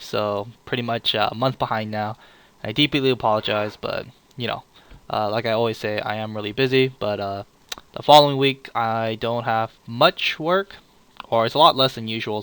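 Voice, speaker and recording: A man hosting a casual card game review, a little sick, talking in a relaxed and apologetic way with many filler "uh"s. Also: fairly low pitch, smooth. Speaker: channel host reviewing card leaks.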